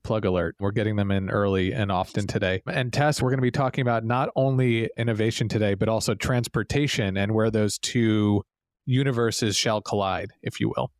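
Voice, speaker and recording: A man co-hosting a podcast, brisk and jokey as he banters and sets up the episode. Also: lowish voice, no echo, studio quality. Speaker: podcast co-host.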